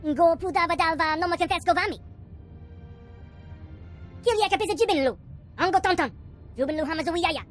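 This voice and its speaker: A woman's voice: very high voice, brisk, pauses often, soft background music. A woman voicing a small alien creature that chatters away in gibberish for a video game. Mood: agitated. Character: small, jabbering, high-voiced alien creature.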